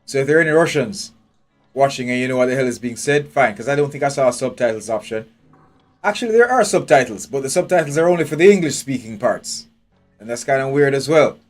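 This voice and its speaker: Male streamer, mid-pitched, swears freely, questions everything, faint game music underneath. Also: animated, fast talker. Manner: blunt, profane, thinking aloud. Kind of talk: live gameplay commentary. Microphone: headphones with a desk mic.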